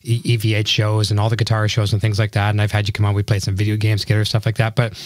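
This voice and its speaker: A middle-aged man with a slightly hoarse voice, hosting a casual conversational interview. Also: lowish voice, completely flat delivery, racing, no echo. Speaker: show host interviewing a guest.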